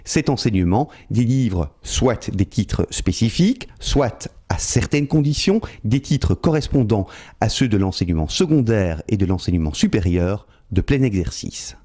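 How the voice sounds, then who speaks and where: Mellow Belgian man narrating. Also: on the low side, big pitch swings, slightly rough. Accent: Belgian French. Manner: measured, explanatory. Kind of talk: scripted documentary narration.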